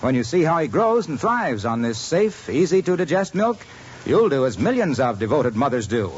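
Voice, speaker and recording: A man's voice: on the high side, sing-song, normal speed, husky. A male radio announcer giving an earnest, polished 1950s ad read. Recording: dull old radio broadcast with light hiss.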